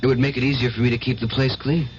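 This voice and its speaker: A man's voice: mid-range voice, little pitch movement, very fast, natural voice. An actor playing a meek but self-righteous young man, earnest and hesitant, in radio drama style. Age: young adult. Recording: muffled radio drama recording, faint hiss.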